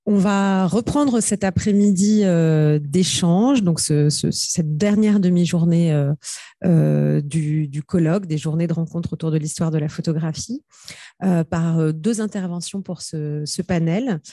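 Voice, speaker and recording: A woman's voice: lowish voice, unhurried, mellow, no room sound. Woman, panel chair, hesitant, lots of fillers, darker voice, dry close sound. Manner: hesitant, many fillers and restarts. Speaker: panel chair at an academic conference. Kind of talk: introducing a speaker at a research conference.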